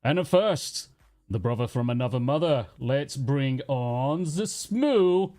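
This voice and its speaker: A man's voice: mid-range voice, lively intonation, slow pace, darkish tone. An English man hosting a casual livestream panel, bantering and laughing as he reads out the chat. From England. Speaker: host.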